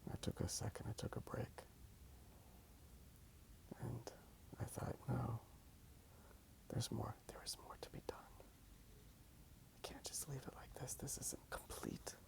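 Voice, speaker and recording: A man murmurs softly in an ASMR style, mostly whispering, with heavy vocal fry crackling through his middle-pitched voice. He rambles unhurriedly and repeats little phrases as if musing to the listener. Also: steady tone, measured pace, long pauses. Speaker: host of an ASMR drawing video. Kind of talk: soft-spoken ASMR rambling while drawing. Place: outdoors in a park.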